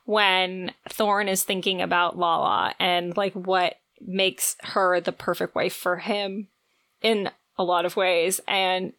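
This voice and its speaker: A woman's voice: on the low side, level tone, slow, fairly bright tone. A woman co-hosting a book podcast, talking casually with emphatic enthusiasm. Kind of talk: casual book discussion chat.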